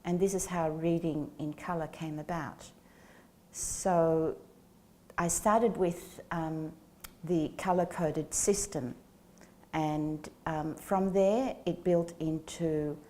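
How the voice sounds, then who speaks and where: A woman in her sixties, a guest in an interview, explaining things in a slow, measured way on a lapel mic in a small studio. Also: sits low, steady tone, a little mellow. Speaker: guest, educator. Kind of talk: interview answers. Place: small studio set with plants.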